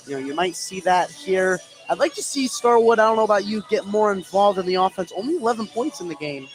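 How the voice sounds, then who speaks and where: A man doing live play-by-play radio commentary on high school basketball, mixing game calls with conversational banter. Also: animated, fast, soft background music, low-quality recording.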